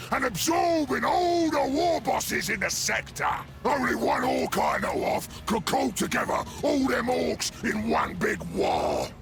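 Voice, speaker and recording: A man voicing a sly, jovial ork salesman in a video game, with a raspy Cockney English voice and a wheedling manner. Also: extremely high pitch, a bit bright, full character voice. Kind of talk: video game character acting.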